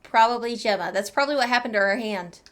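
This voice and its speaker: Higher-pitched woman doing playful game commentary. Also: quick pace, background noise. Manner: playful, chatty, talks back to the game. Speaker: streamer playing a story game. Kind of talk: casual playthrough commentary. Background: game music.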